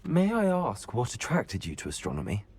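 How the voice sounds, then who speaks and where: An actor playing a polite, curious young student at a wizarding school, deferential and courteous in game dialogue. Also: male voice, mid-range voice, huge pitch swings, moderate pace, a little mellow, breathy edge.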